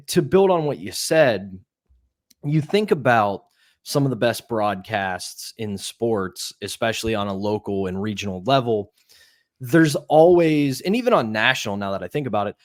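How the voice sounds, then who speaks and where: A man with a fairly low voice co-hosts a sports podcast. He lays out his opinions conversationally. The sound is very dry, with no room echo. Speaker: podcast co-host.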